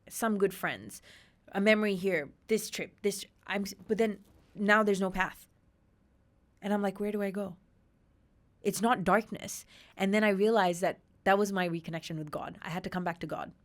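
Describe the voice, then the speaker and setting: A woman in her thirties from Australia, a podcast guest telling her personal story candidly, with emphatic, animated retellings. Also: fairly low pitch. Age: 30s.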